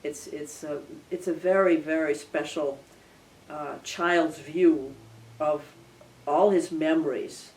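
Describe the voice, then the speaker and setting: An older woman, a composer whose first language was Armenian, talking thoughtfully in an interview with frequent restarts and soft laughs. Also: low voice, fairly even intonation, takes it slowly. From Belmont area, United States. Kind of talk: casual reflective interview. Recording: recorded from some distance.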